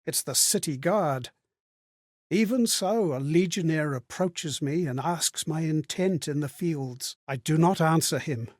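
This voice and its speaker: A man's voice: fairly high pitch, no echo, clean studio sound. A man playing an earnest, sorrowful male companion in a game, who relives other people's memories in reflective, theatrical monologues. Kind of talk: dramatic game voice-over monologue.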